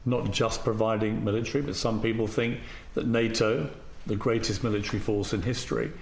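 A fairly low male voice from an interview host, probing and conversational in his questions. The sound carries a roomy echo with faint ambient noise beneath. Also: steady tone, fairly quick, vocal fry.

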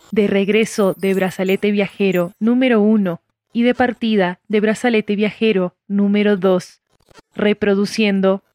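A woman voicing a polite, impassive computer assistant in an audio drama, formal and deadpan. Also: mid-range voice, no room sound, clean studio sound, natural voice. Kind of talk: scripted system announcements in audio drama.

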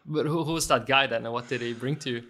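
A man's voice: medium pitch, steady tone, fast talker, pushed and bright. A man hosting a podcast, asking direct, conversational interview questions. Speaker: podcast host.

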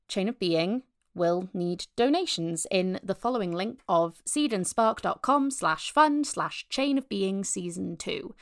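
A woman's voice: lowish voice, no echo, studio quality. A woman giving a casual, enthusiastic podcast promo in an informal, chatty way. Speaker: podcast promoter, voice actor and director.

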